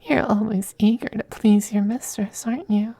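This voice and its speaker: A man playing a possessive, teasing femboy vampire in an intimate ASMR roleplay, speaking softly and breathily, often in a whisper, with coaxing, condescending affection. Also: sits high, fairly even intonation, normal speed, no room sound. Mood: possessive, tender. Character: possessive, teasing, doting femboy vampire master styled as mistress.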